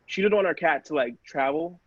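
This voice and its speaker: A man's voice: on the high side, fairly quick, fairly bright tone, husky, no echo. A man in a casual podcast chat, rambling along and peppering his talk with "like". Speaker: podcast cast member. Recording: slightly muffled, lo-fi audio.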